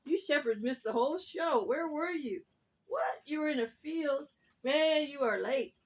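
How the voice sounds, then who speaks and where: A cocky storybook rooster, played by a woman, high in pitch and full of sass. The bird boasts and taunts in folksy slang, brash and mocking toward everyone. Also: animated, unhurried. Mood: scolding.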